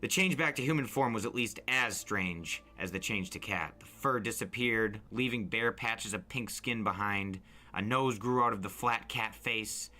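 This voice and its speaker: Bright, low-voiced male storyteller. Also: normal speed, few pauses. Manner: expressive, suspenseful first-person reading. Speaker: narrator and reader. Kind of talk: dramatic audiobook-style storytelling. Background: music bed.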